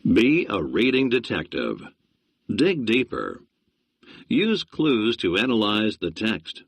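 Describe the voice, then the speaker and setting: A man's voice: lowish voice, sing-song, slow pace, gravelly, husky, no room sound. A man narrating a reading lesson for young students in an instructive tone.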